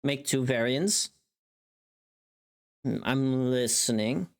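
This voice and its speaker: Casting: live streamer, medium-pitched voice, offhand working chatter, murmured asides and repeated words. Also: slow. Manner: muttering to self, repeating words. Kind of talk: casual live stream chat while working.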